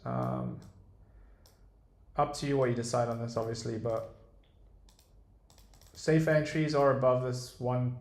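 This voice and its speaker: Flat, gravelly British-accented young man. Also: mid-range voice. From Dubai. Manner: relaxed, hesitant, many ums and restarts. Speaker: day trader streamer. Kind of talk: casual livestream chart commentary, reading chat. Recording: roomy echo.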